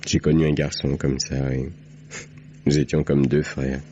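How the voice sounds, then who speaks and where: Very deep, bitter condemned man. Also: level tone, a little slow, mellow. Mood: resigned. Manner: sardonic, terse. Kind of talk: old French radio mystery acting. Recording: muffled, hissy old radio recording.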